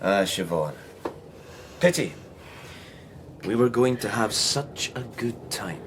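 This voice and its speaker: Animated, breezy, evasive male pilot. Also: on the low side, slow pace, noisy background. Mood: cold regret. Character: smooth, flirtatious male pilot hiding a crime. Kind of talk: radio drama acting.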